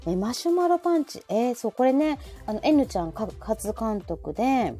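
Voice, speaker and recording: A woman's voice: on the high side, sing-song, normal speed. A woman with a Kansai accent chatting casually as host of a live audio show, asking questions and reacting often. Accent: Kansai Japanese. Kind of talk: casual chat on a live stream. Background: soft background music.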